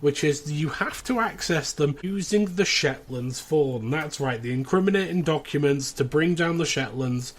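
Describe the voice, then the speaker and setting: A man's voice: fairly high pitch, normal speed, hardly any pauses. A British man hosting a podcast, casually recapping a plot in a chatty way with wry asides. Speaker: podcast host.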